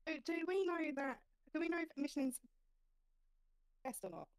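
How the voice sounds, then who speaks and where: A woman's voice: high voice, very animated, normal speed, dry recording, clean studio sound. A woman chatting casually as a player in a tabletop game. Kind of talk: casual chat.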